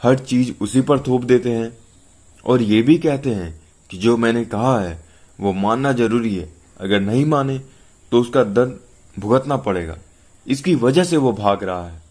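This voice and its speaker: A man's voice: mid-range voice, animated, unhurried, a little mellow. A man voicing a worried, protective mother and defiant wife, pleading and reproachful in a narrated story. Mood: quietly reproachful.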